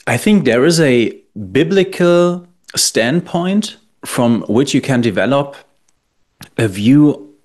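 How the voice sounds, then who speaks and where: A man from Germany with a German accent, co-hosting a podcast in English in a measured, thoughtful way. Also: medium pitch. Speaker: podcast co-host.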